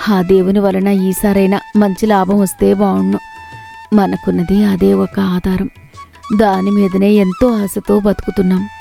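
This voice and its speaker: A woman's voice: mid-range voice, flat delivery, a little slow, creaky, warm tone, faint music behind. A woman playing a poor farmer's wife in a moral story, worried but hopeful, speaking in a plaintive, homely way.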